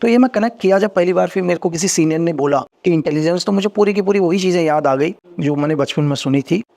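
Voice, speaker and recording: A man's voice: sits high, vocal fry, a little mellow. A middle-aged man, a former agent and podcast guest, telling his story in a casual interview, earnest and repeating phrases as he explains. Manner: rapid, earnest, repeats phrases, rising energy when explaining.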